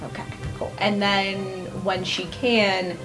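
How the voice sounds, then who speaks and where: A woman's voice: medium pitch, steady tone, slow pace, low-quality recording. A woman playing a tabletop role-playing game, chatting casually as she describes what her character does. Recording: clear music behind the voice. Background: background music.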